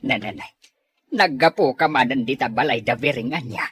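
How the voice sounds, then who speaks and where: An actor with a Filipino accent and a husky voice, playing a nagging, disapproving village husband in a radio drama. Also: male voice, mid-range voice, normal speed, fairly bright tone, no echo. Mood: scolding.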